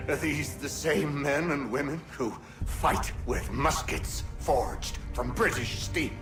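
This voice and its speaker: An actor playing a defeated, wounded British officer in a video game, bitter and philosophical, with a sardonic, breathy delivery. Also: male voice, mid-range voice, close to an everyday voice. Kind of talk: dramatic video game acting. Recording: dramatic music under the voice.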